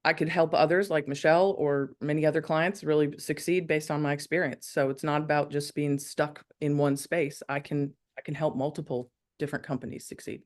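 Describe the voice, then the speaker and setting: A woman on a conference panel, introducing herself briskly and with enthusiasm. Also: low-pitched, steady tone. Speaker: panelist, fractional CPO. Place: conference stage.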